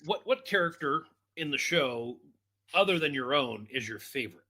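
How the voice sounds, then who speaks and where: A man's voice: on the high side, animated, moderate pace, sharp tone. A man casually asking questions as an interview host.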